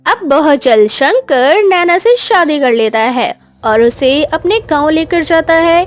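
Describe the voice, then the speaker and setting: A woman narrates a moral story from a script. Her voice is high and very animated in pitch, with faint music underneath. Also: measured pace.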